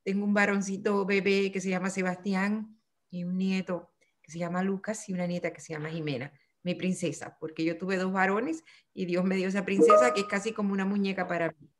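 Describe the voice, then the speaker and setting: A woman's voice: mid-range voice, flat delivery, fairly quick, slight background noise. Older Puerto Rican woman, interview guest, reflective, restarts her phrases. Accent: Puerto Rican Spanish. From Puerto Rico. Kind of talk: remote interview conversation.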